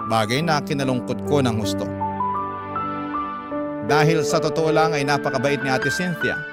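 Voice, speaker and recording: Male radio narrator, medium pitch, dramatic scripted letter reading over prominent music. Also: normal speed. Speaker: radio storyteller. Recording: loud background music.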